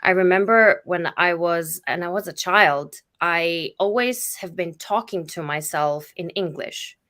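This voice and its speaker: A woman's voice: lowish voice, unhurried, fairly bright tone. A woman from Slovenia whose first language is Slovenian, talking in a casual panel chat with many fillers and restarts. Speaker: facilitator on a panel.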